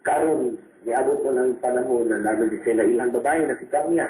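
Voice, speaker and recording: A man's voice: mid-range voice, quick pace, warm tone. A man with a Cebuano Filipino accent, a doctor co-hosting a radio advice program. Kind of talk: radio advice talk with co-host. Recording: roomy echo, clear background noise.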